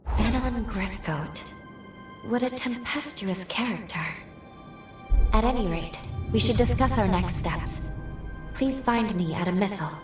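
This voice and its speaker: An actress playing an earnest, dutiful young companion in a video game, speaking in a measured, serious and formal way. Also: female voice, medium pitch, echoey room, recorded from a distance, over music, natural voice. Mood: composed. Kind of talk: video game character acting.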